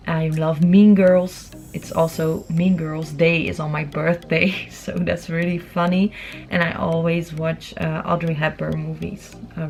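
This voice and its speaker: A woman's voice: on the low side, darkish tone. A young woman with a Dutch accent casually answering questions in a chatty vlog style. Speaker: vlogger and amateur singer. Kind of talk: casual Q&A chat to camera. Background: background music.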